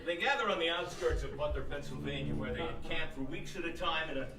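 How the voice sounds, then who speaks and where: An actor playing a solemn documentary narrator in a radio comedy, announcing in an earnest, formal style. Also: male voice, on the high side, fast talker, a crowd in the background, natural voice. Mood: earnest, grand. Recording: echoey live stage, distant mic, music.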